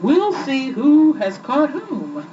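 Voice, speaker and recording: A woman's voice: sits high, huge pitch swings, takes it slowly, warm tone, distant mic, pitched above the performer's own voice, heavily acted. A woman voicing a smug, sardonic eagle in a storybook reading, taunting and dry, on an old amateur recording. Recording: muffled, noisy old amateur home recording.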